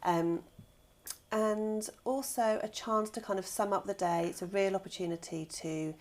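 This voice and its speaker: A woman's voice: medium pitch, measured pace. A British woman answering interview questions as a guest, informal and thinking aloud in loose, conversational phrases. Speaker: guest, children's author and scriptwriter.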